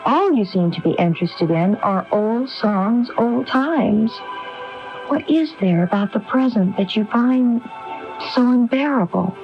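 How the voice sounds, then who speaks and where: A woman's voice: fairly high pitch, animated, a little slow, over music. A woman playing an earnest, worried wife who questions insistently in a radio drama. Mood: concerned, insistent. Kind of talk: 1980s radio drama acting. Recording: dull AM radio broadcast recording.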